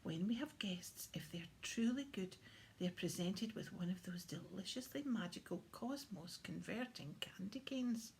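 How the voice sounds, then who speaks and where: A woman's voice: mid-range voice, lively intonation, unhurried, creaky, darkish tone. A woman voicing a jolly, proud old Santa who explains things merrily in a children's storybook. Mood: explaining. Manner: merry, explanatory. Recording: faint background noise.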